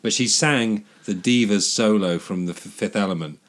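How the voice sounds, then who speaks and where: A man co-hosting a podcast in casual chat, jokey and quick to laugh. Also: fairly low pitch, slight background noise. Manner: jokey, laughs often, drawn-out phrasing. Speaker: podcast co-host.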